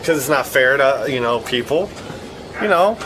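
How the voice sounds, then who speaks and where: A man answers interview questions in an ordinary mid-pitched voice. He is reticent, speaking haltingly and briefly. Voices of a crowd are clearly heard behind him. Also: animated, poor audio.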